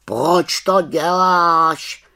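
A woman voicing a wise, stern male raven in an audiobook, speaking slowly and scolding. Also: fairly low pitch, vocal fry, bright, forceful tone, voice pushed up. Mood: reproachful. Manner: slow, scolding and preachy. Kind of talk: audiobook character reading.

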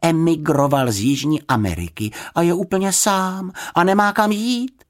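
A cheerful young girl in a children's storybook, played by an adult narrator. She chatters in a high, lively voice, confident, eager and friendly. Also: close to an everyday voice. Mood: matter-of-fact.

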